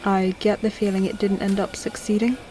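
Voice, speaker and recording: A woman playing a courteous, curious old-model android in a sci-fi audio drama, speaking in a measured, polite way. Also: medium pitch, level tone, crowd noise, lightly acted, poor audio. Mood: polite, guarded.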